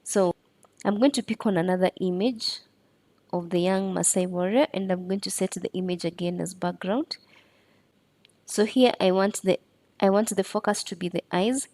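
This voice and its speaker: Mellow-voiced woman giving a tutorial. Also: mid-range voice, moderate pace, no room sound. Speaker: tutorial presenter. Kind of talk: step-by-step screen tutorial narration.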